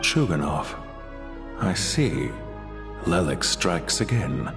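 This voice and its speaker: An actor playing a shrewd, guarded political power broker in a video game, terse and self-assured. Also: male voice, deep voice, sing-song, slow pace, creaky, over music, exaggerated voice. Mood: brooding. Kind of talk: scripted video game acting.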